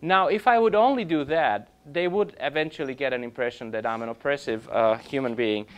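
A man in his thirties, an orchestra conductor, giving an informal lecture in a classroom, halting and restarting often but growing animated, recorded by a camera across the room. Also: medium pitch, clean tone. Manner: halting, many restarts and fillers, grows animated. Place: classroom with projector.

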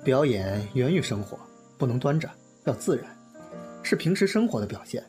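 Man acting a teasing, animated young film star. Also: medium pitch, normal speed, faint music behind, lightly acted. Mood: calm, encouraging.

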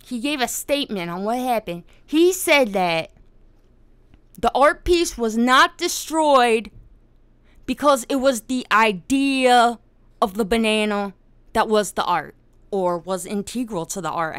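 Swearing, animated woman host. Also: medium pitch, unhurried, clear, resonant tone, dry recording. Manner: animated, swears often, laughs while telling story. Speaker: podcast host. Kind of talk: casual live-stream discussion.

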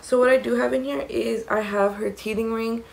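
A young woman talks into a close camera in a small room, her voice raspy with gravelly fry. She rambles through a casual show-and-tell full of "um" and "like." A faint hiss sits under the recording. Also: on the high side, fairly even intonation, moderate pace. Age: young adult. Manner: chatty, rambling, many "um" and "like". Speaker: mom vlogger. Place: small indoor room against a plain wall.

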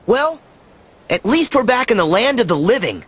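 An actor playing a plucky, earnest young adventurer in a video game, with a boyish voice. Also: male voice, very high voice, big pitch swings, normal speed, fairly bright tone, breathy edge, heavily acted. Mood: exasperated. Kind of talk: video game cutscene acting.

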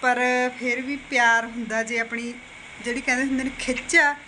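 A woman's voice: a little high, steady tone, moderate pace, pushed and bright, slight background noise. A woman in her forties with a Punjabi accent, chatting casually to a phone camera at home. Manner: chatty, with frequent tag questions. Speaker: vlogger. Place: home, indoors. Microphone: phone held at arm's length.

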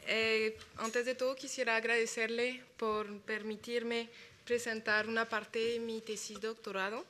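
A woman's voice: on the high side, flat delivery, bright, forceful tone, slight background noise. A young woman with a French accent, French her first language, presenting her doctoral research in careful, hesitant Spanish at an academic conference. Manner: careful, measured delivery with hesitations. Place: lecture hall with a large audience.

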